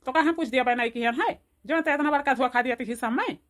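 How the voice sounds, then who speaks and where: A woman's voice: sits high, brisk, lightly acted. A woman voicing an angry, threatening village man in a cartoon, with an Awadhi accent and a hectoring, repetitive delivery. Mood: indignant. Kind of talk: amateur cartoon voice acting.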